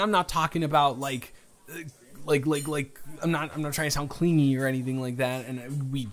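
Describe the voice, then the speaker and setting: A man acts out a young guy on a date in an intimate audio roleplay. His voice sits at a middle pitch. He is earnest and reassuring, and he halts and stammers as he searches for the right words. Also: lightly acted. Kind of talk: improvised intimate romantic roleplay monologue.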